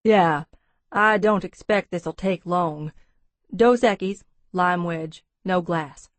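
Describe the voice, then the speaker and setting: A woman voicing an easygoing, wryly amused Texan businessman with a drawling baritone in an audiobook. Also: slow pace, no room sound. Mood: easygoing, offhand. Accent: Texas. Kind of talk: audiobook character dialogue.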